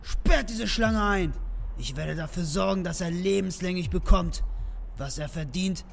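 An actor playing an indignant, pompous accuser in an audio drama, shrill and outraged. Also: male voice, a little slow, gravelly. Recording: reverberant hall, faint noise.

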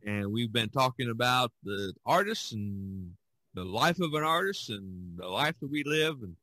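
An older man hosts a relaxed, conversational podcast. His voice sits fairly low and is recorded quite dry, and he wanders through his thoughts, circling back to repeat phrases. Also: no room sound. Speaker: podcast host. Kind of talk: casual chat.

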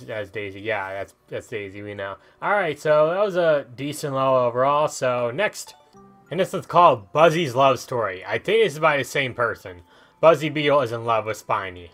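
A man's voice: mid-range voice, fairly bright tone. Joking man commentating over game music. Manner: joking, rambling, thinking aloud. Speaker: host of gaming videos. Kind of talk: casual let's play commentary. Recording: video game music behind the voice. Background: video game music.

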